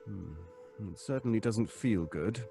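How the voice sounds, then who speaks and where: An actor playing a psychologist who speaks in a measured, advising way in a live audio drama. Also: male voice, on the low side, lively intonation, gravelly, dark tone. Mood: grave. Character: calm, grave male psychologist. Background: faint live music.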